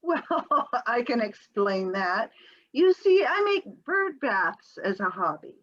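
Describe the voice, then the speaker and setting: A woman's voice: a little high, sing-song, slow pace, lo-fi sound, heavily acted. A woman playing a fluttery, evasive, genteel housewife in a comic stage scene, over-polite and theatrical. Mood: breezy, evasive.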